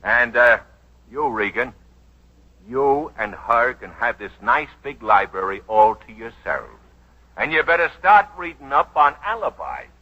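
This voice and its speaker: Brusque, sarcastic radio-drama police lieutenant. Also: male voice, medium pitch, sing-song, unhurried, bright, forceful tone, heavily acted. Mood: businesslike. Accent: American. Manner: wry, needling, authoritative. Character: brusque, sarcastic male police lieutenant, middle-ranking and stubborn. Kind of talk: 1940s radio acting. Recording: dull vintage 1940s radio recording.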